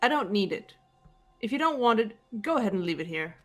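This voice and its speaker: A woman's voice: mid-range voice, sing-song, faint music behind, natural voice. Woman voicing a guarded, composed teenage girl detective. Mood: cool, analytical. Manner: measured, reserved, matter-of-fact delivery. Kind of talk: fan voice acting of a visual novel game.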